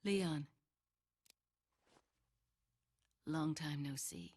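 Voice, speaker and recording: A woman's voice: low-pitched, takes it slowly, darkish tone, slightly rough, heavily acted. A woman playing a cool, aloof secret agent in a video game cutscene. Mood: cool, teasing.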